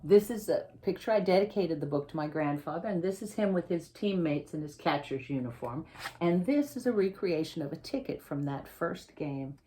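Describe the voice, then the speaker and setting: Lady with a low voice reading a kids book out loud, expressive, chatting to the kids about it. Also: normal speed. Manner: expressive, addresses young listeners directly. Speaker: children's author reading own picture book aloud. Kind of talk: picture book read-aloud with explanatory asides.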